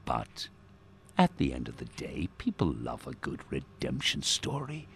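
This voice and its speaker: Casting: a jaded, defensive radio host in dark comedy; low, husky, slow and sardonic. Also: male voice, huge pitch swings, a little hiss or hum, heavily acted. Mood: bitter, confiding. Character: jaded, self-important male radio show host, cynical and defensive. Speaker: actor playing a radio host. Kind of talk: darkly comic radio drama acting.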